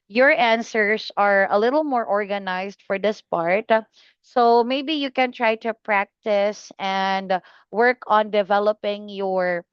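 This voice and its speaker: Woman tutor, patient feedback, filler words, slow pace, slightly dull lo-fi sound. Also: medium pitch, clean tone, no echo. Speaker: IELTS speaking tutor. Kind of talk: giving spoken feedback to students.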